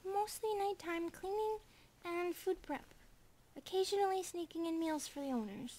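A woman's voice: extremely high pitch, moderate pace, mellow. A woman voicing an affectionate, childlike eighteen-year-old centipede maid in an innocent, cheerful way for an ASMR roleplay. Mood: happy.

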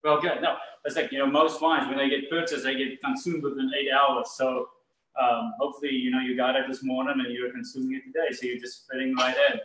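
A man co-hosting a casual chat, explaining things in a relaxed way. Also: medium pitch, brisk, roomy sound, recorded from a distance, poor audio.

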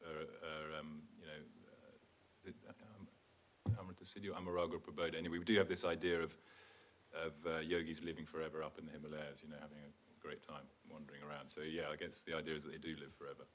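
Very deep-voiced, hesitant male scholar. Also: level tone, fast. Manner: hesitant, with many fillers and self-corrections. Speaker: panel speaker, scholar. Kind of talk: academic panel discussion. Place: lecture theatre stage. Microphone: table microphone.

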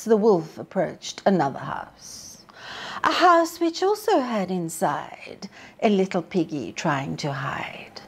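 Slow, animated woman storyteller. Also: deep voice, husky. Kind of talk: expressive read-aloud of rhyming children's story.

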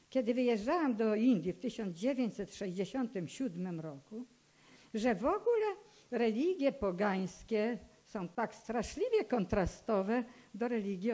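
An elderly woman in her eighties speaks in a somewhat high voice. She gives a personal testimony to a hall through a stand microphone. Her manner is earnest and admonishing, and she repeats phrases deliberately to press her point. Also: animated, warm tone. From Poland. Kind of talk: public talk, personal testimony. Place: indoor hall with stage and seated audience. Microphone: microphone on a stand, close to the mouth.

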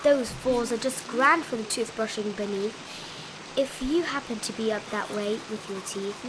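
A woman with a fairly high voice reads a children's picture book aloud over some noticeable background noise. She leans into the rhymes with an expressive, sing-song delivery, as a storybook reader. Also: moderate pace.

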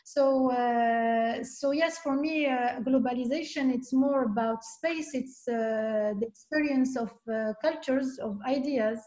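A middle-aged woman, a guest artist speaking haltingly on a video call from her home, with many restarts. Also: high-pitched, darkish tone, poor audio. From Algeria. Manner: hesitant, many restarts and fillers. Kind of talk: video call panel talk. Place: home room.

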